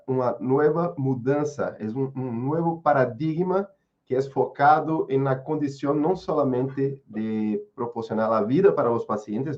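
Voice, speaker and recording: A Brazilian man presents in a slow, halting way, stopping often to restart. His voice sits at an ordinary pitch. The sound is dull and lo-fi, like audio from a web call. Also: a little mellow. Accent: Brazilian Portuguese. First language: Portuguese. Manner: halting, with many restarts and fillers. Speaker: guest speaker, researcher. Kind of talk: webinar presentation.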